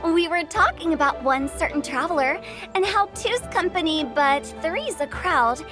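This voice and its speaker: A woman's voice: very high-pitched, lively intonation, normal speed, over music. A woman voicing a playful, theatrical young girl poet in a video game, with a teasing sing-song delivery. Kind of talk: video game character dialogue.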